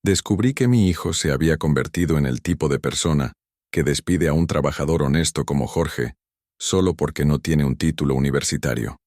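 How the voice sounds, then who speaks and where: A man narrating a dramatic first-person story in a measured, stern manner. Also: a bass voice, a little mellow, no room sound, studio quality.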